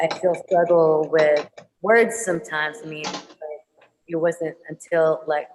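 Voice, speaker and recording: A woman's voice: low voice, in a large hall, a little hiss or hum, rough recording. A woman whose first language is Tagalog, an artist answering an audience question on a panel, hesitant and full of ums and restarts. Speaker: muralist, visual artist panelist.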